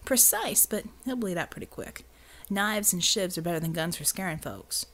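A woman voicing a gruff, plain-spoken, tough American man in an audiobook story. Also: fairly low pitch, lively intonation, normal speed, fairly bright tone. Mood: coolly menacing. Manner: colloquial, blunt, coolly menacing when persuading.

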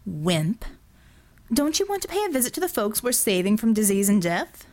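A smug male villain from an audiobook, acted out by a woman. He sounds bored and cruelly mocking, tossing out sardonic, mock-genteel remarks with a lively, swinging pitch. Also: moderate pace, close to an everyday voice.

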